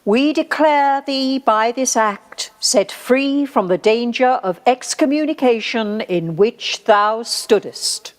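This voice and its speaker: A woman's voice: a little high, slow pace, few pauses. A woman playing a solemn, authoritative church judge in a radio play, with grave and formal delivery. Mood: solemn judgment. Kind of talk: radio play acting, formal archaic speech. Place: actor's home, live remote recording.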